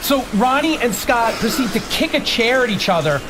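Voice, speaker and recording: A man's voice: very high voice, very animated, moderate pace, music in the background, poor audio. An insistent, defensive man who repeats himself as he argues and tells stories on a radio show. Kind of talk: animated radio argument and storytelling.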